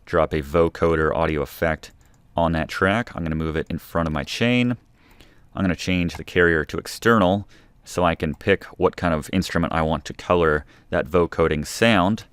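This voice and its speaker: A man with a very low, smooth voice talks through a how-to as the host of a tutorial. His delivery is informal and explanatory. The recording is dry, with hardly any room sound. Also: normal speed, fairly bright tone, no echo. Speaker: music production tutorial host. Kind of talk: casual instructional screen-recording narration.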